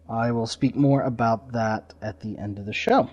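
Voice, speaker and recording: A man's voice: medium pitch, moderate pace, darkish tone. Dull-sounding lo-fi male podcast host. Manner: informal, slightly rambling. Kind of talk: casual podcast introduction. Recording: slightly dull lo-fi audio.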